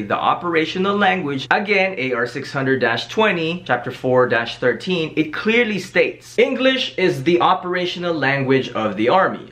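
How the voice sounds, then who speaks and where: A man's voice: a little high, fairly bright tone. A man in his late twenties from the Philippines, a native Tagalog speaker with a Filipino accent, talking casually to camera in an upbeat, chatty way and often asking "okay?". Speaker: YouTuber and Army medic. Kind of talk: casual vlog talk to camera.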